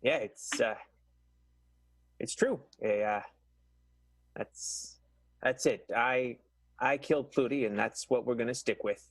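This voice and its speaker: Guy doing a resigned 20s jailbird, slow husky drawl, tender, close dry sound, radio play. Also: medium pitch, no echo. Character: resigned, self-sacrificing male 1920s jailbird, tender. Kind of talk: improvised 1920s radio soap acting.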